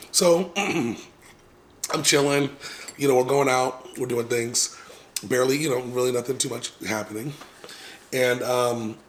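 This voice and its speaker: A man casually telling viewers a long personal story, rambling with many fillers and restarts and clearing his throat now and then. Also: mid-range voice, fairly bright tone, slight background noise. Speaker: vlogger.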